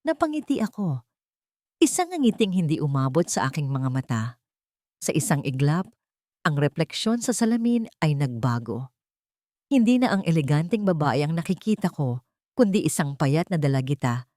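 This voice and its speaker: A woman narrates an audio melodrama from a script. Her voice sits a little low, and her delivery is measured, solemn and emotive. The sound is very dry, with no room around it. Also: very animated, darkish tone, no echo.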